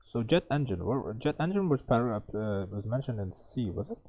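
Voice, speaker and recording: A man's voice: mid-range voice, very animated, moderate pace, mellow, slight background noise. A young man tutoring, reading an exam passage aloud with many stumbles and self-corrections, then thinking through the answers. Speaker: IELTS tutor.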